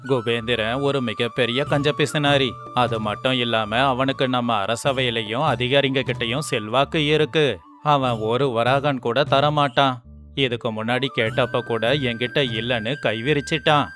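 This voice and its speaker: A man playing a wary chief minister in an animated moral story, respectful and cautioning. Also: medium pitch, normal speed, soft background music, lightly acted.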